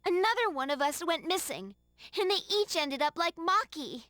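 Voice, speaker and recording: High-pitched, guilty teenage bully girl. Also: sing-song, moderate pace, a bit bright, dry recording, clean studio sound, exaggerated voice. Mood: shaken confession. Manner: hesitant, confessional.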